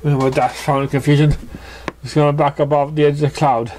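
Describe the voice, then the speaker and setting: A narrator reads a scripted piece of fan fiction aloud. The voice sits at an in-between pitch as it tells the story. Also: flat delivery, brisk, a little mellow, slight background noise, poor audio.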